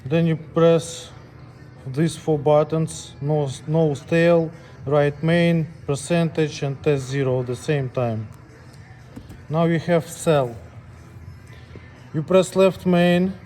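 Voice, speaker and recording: Higher-voiced man giving step-by-step instructions, mellow tone. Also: fairly even intonation, slow, pauses often, soft background music. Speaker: scale technician demonstrating calibration. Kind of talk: hands-on tutorial, unscripted step-by-step instructions.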